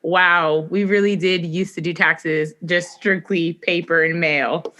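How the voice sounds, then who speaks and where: A woman's voice: on the low side, steady tone, takes it slowly, bright, forceful tone. A woman in her late twenties from New York, explaining things casually as a podcast guest, often saying "like". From New York, United States. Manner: conversational, peppered with "like". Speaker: podcast guest, adulting brand creator. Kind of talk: casual explanatory interview chat.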